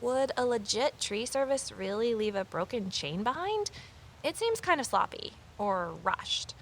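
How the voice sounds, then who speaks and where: A woman's voice: fairly high pitch, very animated, a little slow, fairly bright tone, slight background noise, exaggerated voice. A woman playing an eager, nosy former journalist turned sleuth, telling her story in earnest first-person audio drama style. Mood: questioning.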